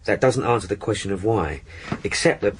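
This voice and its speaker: An actor with a British accent playing a respectful, methodical police sergeant explaining things in a radio drama. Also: male voice, fairly low pitch, expressive, fairly quick, husky. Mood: earnest, puzzled. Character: deferential, earnest male police sergeant, methodical.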